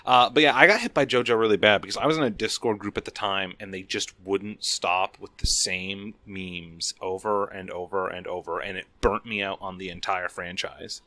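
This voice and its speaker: A man's voice: low-pitched, fairly even intonation, normal speed, few pauses, pushed and bright, no room sound. A young man hosting a podcast, chatting in an easygoing way with dry humor. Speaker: podcast host. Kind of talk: casual chat.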